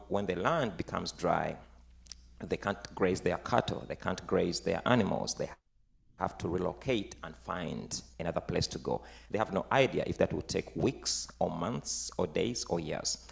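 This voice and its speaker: Male guest musician, Ugandan accent, Luganda mother tongue, low pitch with heavy gravel, explanatory delivery. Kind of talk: explanatory talk on music and tradition.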